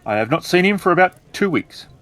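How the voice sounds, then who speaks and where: A man playing a polite, cautious innkeeper in a samurai-era tabletop story, with a deferential, measured way of talking. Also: high-pitched, sing-song, fairly bright tone, pitched above the performer's own voice. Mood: apologetic, careful.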